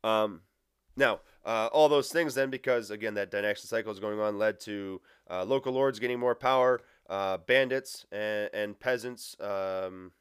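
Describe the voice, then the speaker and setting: A man giving a casual history lecture, full of uhs and restarts, his voice a bit nasal and raspy from allergies. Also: fairly low pitch, moderate pace, no room sound, clean studio sound. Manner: filler-heavy, casual, frequent uhs and restarts. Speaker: history teacher. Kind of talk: recorded lecture.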